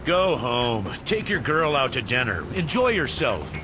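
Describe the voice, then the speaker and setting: An actor playing an authoritative spy agency commander in a video game, giving orders in a gruff, commanding manner. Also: male voice, medium pitch, sing-song, unhurried, over music. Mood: dry, assured. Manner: commanding, briefing, dry. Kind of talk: video game mission briefing.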